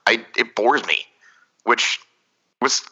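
A man's voice: mid-range voice, slow pace, bright, forceful tone, muffled recording. A man hosting a casual soccer podcast, talking analysis in a rambling, thoughtful way with many restarts. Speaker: podcast host.

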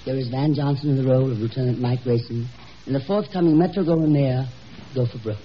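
A man's voice: medium pitch, fast. A man delivering solemn, declamatory scripted narration as a 1950s radio announcer. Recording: muffled, noisy old 1950s radio broadcast.

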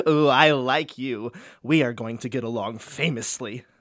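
Lady as a pompous flirty showman, slow and swoopy, giggly, grand, super dry studio sound. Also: a bass voice, no room sound, full character voice. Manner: grandiose, fawning, chuckling. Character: pompous, giggly, flirtatious male book-review impresario.

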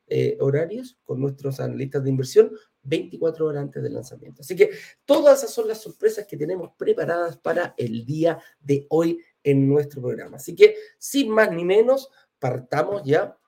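A man's voice: on the high side, vocal fry, warm tone, husky. A man with a Chilean accent hosting a live class and interview in an informal, chatty way. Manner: chatty, informal, uses affectionate diminutives. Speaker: host of a live real estate investment class.

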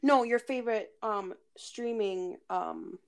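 A woman's voice: mid-range voice, slow pace, vocal fry, smooth. A woman co-hosting a podcast in bubbly, gushing casual chat, sometimes groping for words. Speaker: podcast co-host.

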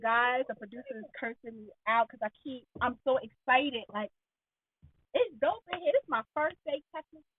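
A woman co-hosting a casual talk show, speaking in a blunt, animated, slangy way with candid banter. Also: high voice, brisk, husky. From Puerto Rican heritage. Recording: tinny, phone-like band-limited audio.

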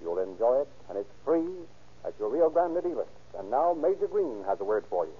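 A man's voice: mid-range voice, big pitch swings, fairly quick, slightly rough. A man with an American accent announcing in formal, declamatory 1930s radio style, on a tinny old recording. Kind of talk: 1930s radio narration and sponsor pitch.

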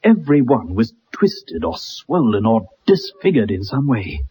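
An actor playing a bitter, haunted young ex-soldier in a dramatic 1960s radio play. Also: male voice, medium pitch, sing-song, unhurried, warm tone, slightly rough, full character voice. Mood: haunted, horrified. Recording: muffled, dull old radio recording.

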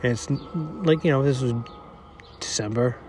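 A man's voice: mid-range voice, normal speed, background noise. Rambling nasal middle-aged man. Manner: rambling, self-deprecating; quiet, not projecting. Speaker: podcast host. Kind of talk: casual solo monologue. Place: outdoors.

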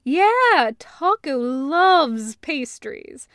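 A woman's voice: sits high, sing-song, extremely slow, a bit bright, no echo, clean studio sound, full character voice. A woman voicing a doting, upbeat sister in an audiobook, affectionate and emphatic. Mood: beaming, affectionate. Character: doting, upbeat sister fussing over her brother. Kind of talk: audiobook character dialogue.

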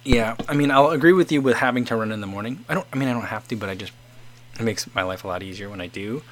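A man's voice: medium pitch, fast talker. A man in his forties casually chatting as host, reading out viewer comments and rambling with many restarts. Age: 40s.

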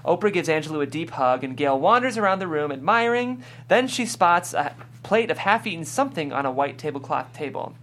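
A woman's voice: deep voice, animated, a little fast. A woman reading scene directions as scripted narration at a table read. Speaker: stage-direction reader.

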